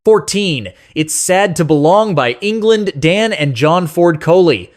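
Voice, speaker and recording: A man's voice: high voice, expressive, unhurried. A man narrating a scripted nostalgic music countdown as host, speaking to the listener in a conversational, sentimental way.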